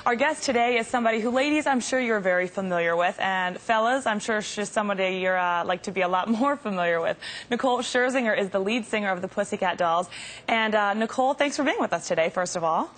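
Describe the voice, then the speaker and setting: A woman hosting a web interview show, friendly and quick to laugh. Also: medium pitch, fairly bright tone. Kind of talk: hosted interview.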